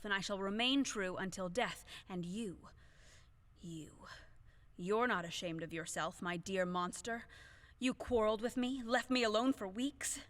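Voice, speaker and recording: An actress playing a proud, grieving young widow in an audio play, theatrical and lamenting. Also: female voice, mid-range voice, a bit bright, lightly acted. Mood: wounded, defiant. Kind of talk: audio play acting of a classic stage comedy.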